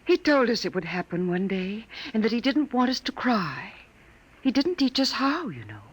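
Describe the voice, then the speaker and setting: An actress playing an ageless android wife in a 1950s radio drama, soft-spoken, wistful and grieving with quiet dignity. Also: female voice, fairly high pitch, big pitch swings, normal speed, breathy edge. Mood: quiet grief. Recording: vintage 1950s radio broadcast recording.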